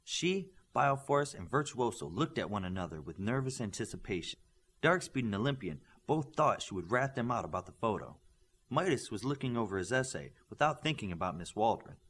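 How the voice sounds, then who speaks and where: A man reads fiction aloud as an audiobook narrator. His delivery is scripted storytelling, with a pitch that moves in an animated way from phrase to phrase. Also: fairly quick, husky. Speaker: audiobook reader.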